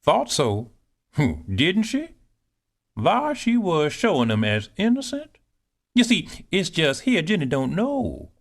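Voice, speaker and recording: A proud older cook, put on by a male reader, pitched high and swooping wildly up and down as she bustles and brags. Scolding, lively and full of herself, like a storybook kitchen boss. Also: slow, vocal fry, exaggerated voice. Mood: boastful. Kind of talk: audiobook character reading.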